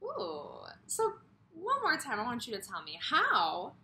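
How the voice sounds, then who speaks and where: Woman kindergarten teacher for a read-aloud: slow, bright, highly animated, addressing young children directly. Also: creaky, slight background noise.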